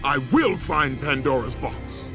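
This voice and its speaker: An actor playing a grim, vengeful Spartan warrior in a video game, gruff and commanding. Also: male voice, medium pitch, expressive, unhurried. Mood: furious. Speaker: game character. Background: music.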